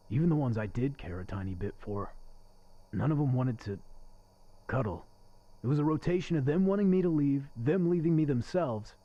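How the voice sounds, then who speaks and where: An actor playing a crude, bitter but lonely restaurant server in a radio play, with sarcastic, needling delivery. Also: male voice, mid-range voice, dark tone, muffled recording, lightly acted. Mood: wistful, pleading.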